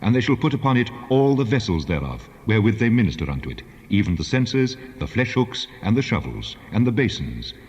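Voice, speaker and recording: An actor playing the Lord God in a dramatized scripture reading, solemn, deliberate and commanding. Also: male voice, fairly low pitch, sing-song, darkish tone. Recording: long cavernous reverb, dull sound.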